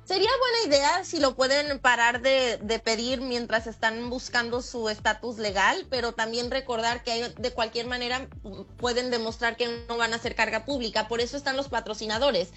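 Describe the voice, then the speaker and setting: A woman from Michoacán, on the radio as a guest lawyer, talks in a fairly high voice. She explains the rules steadily, one point after another. Faint music sits under her voice. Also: normal speed. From Michoacán, Mexico. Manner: steady and explanatory, laughs easily.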